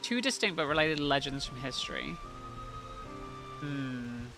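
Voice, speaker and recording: A man's voice: on the high side, expressive, measured pace, music in the background. A man streaming a game and chatting over it with joking asides. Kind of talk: live game stream commentary.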